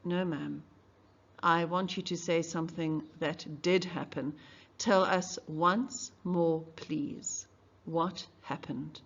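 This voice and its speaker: A woman reading the part of a patient, middle-aged male defense lawyer, questioning in a measured way. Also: low voice, steady tone, heavily acted. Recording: dull lo-fi recording.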